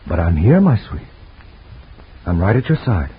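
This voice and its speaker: A man's voice: low-pitched, very animated, moderate pace, a little hiss or hum. A man voicing an anguished husband in an old radio drama, pleading and emotional in a 1940s acting style. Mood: tender. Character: anguished guilt-ridden husband. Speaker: lead actor. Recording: dull lo-fi old radio recording.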